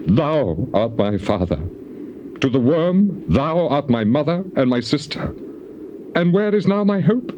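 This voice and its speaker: A man's voice: medium pitch, background noise. An actor playing an anguished, afflicted man lamenting to God in a theatrical, declamatory scripture dramatization. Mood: despairing. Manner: declamatory, mournful, archaic phrasing.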